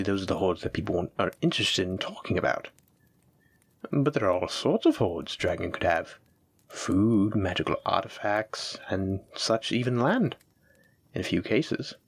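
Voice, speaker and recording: A man playing a doting, gently possessive dragon-blooded librarian, speaking tenderly and coaxingly to his sweetheart in an intimate audio roleplay. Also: mid-range voice, husky. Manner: tender, coaxing, affectionate, reassuring. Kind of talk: intimate scripted audio roleplay addressed to the listener.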